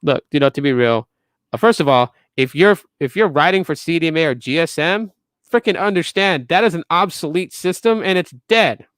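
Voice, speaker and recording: A man's voice: fairly high pitch, normal speed, fairly bright tone, clean tone, no room sound, clean studio sound. A man in his late thirties hosting a tech podcast, ranting casually to viewers with emphatic repeats and restarts. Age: 30s. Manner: emphatic, repetitive restarts, lecturing.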